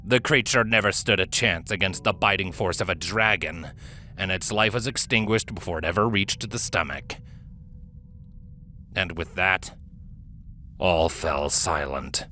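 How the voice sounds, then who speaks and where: Bright-voiced man narrating fantasy fiction. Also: sits low, measured pace, pauses often, a little hiss or hum. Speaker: story narrator. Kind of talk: dramatic scripted fiction narration. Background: background music.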